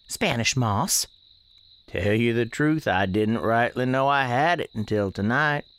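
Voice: mid-range voice, moderate pace, smooth. A performer narrating a radio tale in the first person as a plain-spoken, wry storekeeper. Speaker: narrator and storekeeper character in audio drama. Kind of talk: folksy first-person storytelling.

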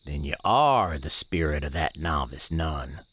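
An actor playing a plainspoken, watchful country storekeeper, narrating a supernatural radio drama in a deliberate, homespun way. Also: male voice, very deep voice, lively intonation, a bit bright, heavily acted. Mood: probing. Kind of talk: first-person radio drama narration and dialogue.

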